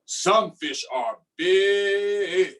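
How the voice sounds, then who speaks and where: A man's voice: high-pitched, animated, very slow, fairly bright tone, distant mic. A man hosting a storytime, reading aloud and chatting simply and encouragingly with young children. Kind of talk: read-aloud and casual chat to young children.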